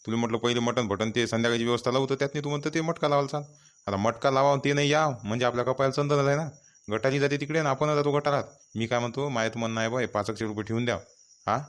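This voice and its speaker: A man's voice: mid-range voice, lightly acted. A man playing an impatient, grumbling village gambler in a comedy cartoon, with a colloquial, nagging Varhadi Marathi accent from Vidarbha.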